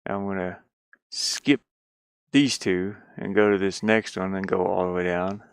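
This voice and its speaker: A man's voice: low voice, little pitch movement, a little slow, gravelly, clean tone, no room sound. A man live streaming casually as he works with his hands, muttering and meandering as he thinks aloud through each step for viewers. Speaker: live streamer doing a DIY build. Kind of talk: casual chat while working, thinking aloud.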